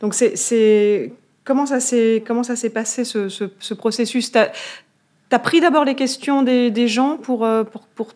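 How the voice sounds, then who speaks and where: A woman's voice: fairly high pitch, fairly even intonation, measured pace, darkish tone. A woman interviewing a guest, phrasing her questions in a searching way with many restarts. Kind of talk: interview conversation.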